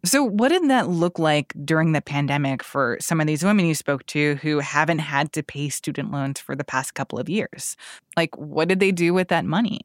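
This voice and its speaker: A woman's voice: low-pitched, normal speed, no echo, studio quality. A woman hosting a news podcast, asking questions in a conversational way. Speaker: podcast host.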